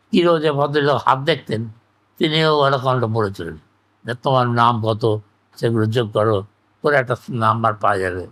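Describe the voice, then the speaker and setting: An older man talks as a guest in a reflective interview. His voice sits at a middle pitch. He is measured, laying out his recollections in short, broken phrases. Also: fairly bright tone.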